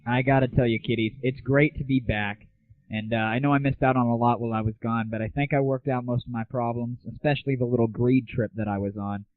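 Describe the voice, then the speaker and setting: A man's voice: fairly even intonation, brisk, no echo. Young American male host, sarcastic and chatty, dry phone-like lo-fi sound. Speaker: host of an online gaming audio show. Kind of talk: casual comic rant and game review. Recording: tinny, phone-like low-bandwidth audio, very dry.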